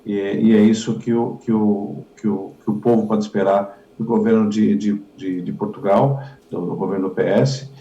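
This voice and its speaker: A low, gravelly-voiced man answers as a politician, stumbling and repeating himself with many fillers. His voice is dark and mellow. It is recorded dull and echoey, as in a bare room. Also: fairly even intonation, faint music behind. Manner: halting, repetitive, many fillers. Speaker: politician interviewee.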